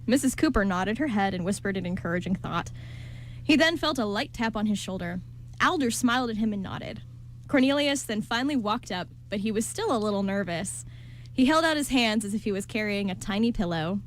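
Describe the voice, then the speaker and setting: A woman's voice: mid-range voice, expressive, brisk, slight background noise. A woman narrating a scripted story as part of a radio play.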